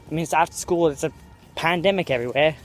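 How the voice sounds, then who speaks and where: A man's voice: a little high, quick pace, soft background music. A young American man hosting a rambling vlog in a mock British accent, walking outdoors around a school campus and filming on a phone. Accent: American speaker putting on a mock British accent. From United States. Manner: jokey and meandering, full of fillers and self-corrections. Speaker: vlogger host. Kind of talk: rambling walk-and-talk vlog.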